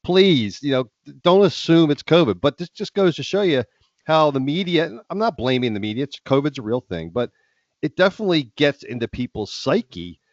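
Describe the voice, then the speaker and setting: A man's voice: on the high side, very animated, normal speed, no room sound. A middle-aged man with a head cold, co-hosting a podcast in casual, rambling chat full of restarts. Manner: rambling, many ums and restarts. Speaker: podcast co-host. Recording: dry, slightly dull sound.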